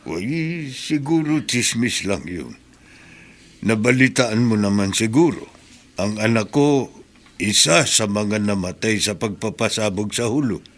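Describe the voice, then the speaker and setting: A man's voice: on the low side, lively intonation, measured pace, a bit bright. An actor playing a reassuring, fatherly middle-aged uncle who takes in his nephew, in a radio drama. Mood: sad.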